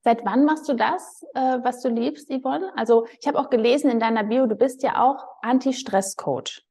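A woman's voice: a little high, moderate pace, dark tone, slightly rough. A woman hosting a podcast, interviewing her guest in an enthusiastic, chatty way. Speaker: podcast host. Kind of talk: casual interview.

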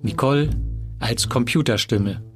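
Audio drama credits announcer, slow measured delivery, medium pitch, music bed underneath. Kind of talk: scripted credits reading.

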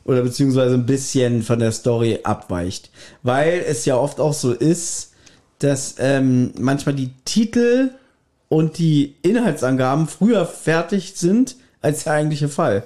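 A man's voice: mid-range voice, animated, measured pace, mellow. A man co-hosting a podcast in casual conversation. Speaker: podcast co-host. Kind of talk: casual chat.

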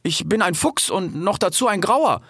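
A man's voice: high-pitched, lively intonation, fairly quick, no room sound, studio quality, voice pushed up. A man voicing a timid, clever male grey fox who stammers and pleads politely in a storybook reading. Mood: nervously firm.

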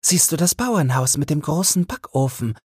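A man's voice: on the high side, very animated, normal speed, darkish tone, breathy edge, no echo, studio quality, pitched above the performer's own voice. A man voicing a sweet young fairy girl full of wonder in a storybook, with a lilting delivery. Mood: bright wonder.

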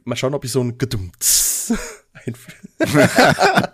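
A man's voice: medium pitch, huge pitch swings, takes it slowly, fairly bright tone, slightly rough. A man chatting casually as a podcast host, with a mild cold, recorded on a close microphone. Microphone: close USB or headset microphone.

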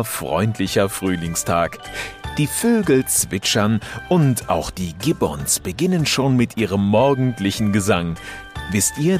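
A man narrating a children's story expressively, full of wonder and speaking directly to young listeners. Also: fairly low pitch.

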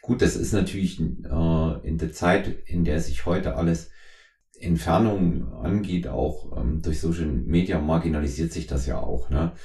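A middle-aged man from East Germany hosting a conversational podcast interview, speaking in wordy, halting phrases. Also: very deep voice, gravelly, warm tone. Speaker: podcast host. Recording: roomy, echoing voice recording.

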